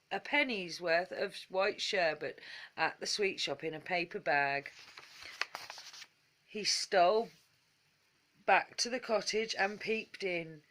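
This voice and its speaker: A woman reading a children's story aloud as a calm, gentle bedtime storyteller. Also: fairly low pitch. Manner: gentle, unhurried.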